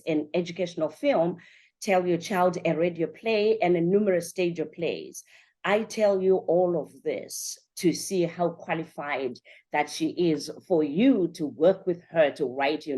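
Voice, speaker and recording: A woman with a fairly low voice hosts a podcast interview show. She is enthusiastic and chatty, talking directly to her audience in an upbeat presenting style. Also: warm tone. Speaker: show host and conference organiser.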